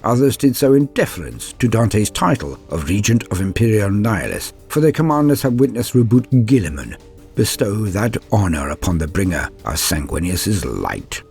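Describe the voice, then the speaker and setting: A man giving a grave, theatrical reading of scripted war lore as a narrator, in measured phrases. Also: lowish voice, big pitch swings, vocal fry, darkish tone. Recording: voice over background music. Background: music.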